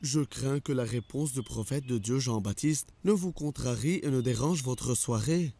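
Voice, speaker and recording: A man playing a respectful, pious servant in an animated religious drama, earnest and deferential. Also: medium pitch, normal speed, close to an everyday voice. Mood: deferential, grave.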